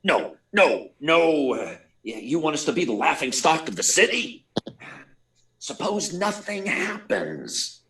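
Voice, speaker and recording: A man playing a flustered, blustering newspaper editor in an old-time radio drama, stammering and excitable. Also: on the high side, takes it slowly, full character voice. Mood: stubborn, worried. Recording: live remote performance, clear background hiss.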